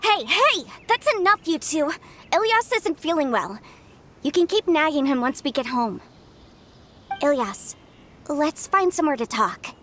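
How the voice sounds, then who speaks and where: A woman voicing a spirited, caring young sister in a video game, talking briskly and frankly. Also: high-pitched, very animated, a bit bright, a little hiss or hum, exaggerated voice. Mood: assertive, concerned.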